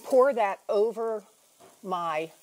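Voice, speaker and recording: A woman's voice: medium pitch, takes it slowly, darkish tone, a little hiss or hum. An older woman, a guest cook, chatting while she demonstrates a recipe in a TV studio kitchen, with many ums as she explains. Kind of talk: cooking demonstration chat.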